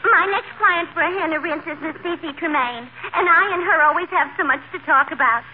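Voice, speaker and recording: A woman's voice: very high voice, brisk. An actress playing a chatty, gossiping female beautician in a 1940s radio sitcom. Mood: gossipy. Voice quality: chatty, gossipy, mangles words. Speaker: hairdresser visiting a sick neighbor. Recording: noisy, tinny old radio broadcast.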